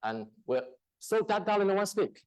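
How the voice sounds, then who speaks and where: Halting storytelling man, dry close sound. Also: sits high, sing-song, darkish tone, no echo, low-quality recording. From China. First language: Chinese dialect. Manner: halting, storytelling, laughs often. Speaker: church speaker. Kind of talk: testimony sermon. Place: church hall.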